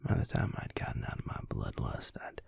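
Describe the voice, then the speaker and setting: A man playing a brooding, protective vampire lover in an intimate audio roleplay, whispering slowly and haltingly with a breathy, gravelly voice. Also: a little mellow, no room sound, close to an everyday voice. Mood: bitter, confiding. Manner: slow, halting delivery with pauses.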